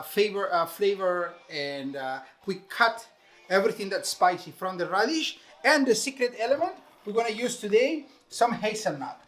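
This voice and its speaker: A man's voice: high voice, fairly bright tone. A man from Venezuela with a Venezuelan Spanish accent, a chef explaining a cooking recipe step by step in English. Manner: explains step by step, many fillers. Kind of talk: casual cooking demonstration.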